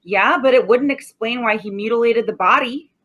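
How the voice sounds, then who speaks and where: Woman playing a high-pitched sarcastic tough-guy cop. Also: normal speed, dull audio, slight background noise, natural voice. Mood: skeptical. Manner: slangy, sardonic tough talk. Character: gruff, sarcastic male homicide cop, stubborn and unimpressed. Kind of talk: 1940s radio acting.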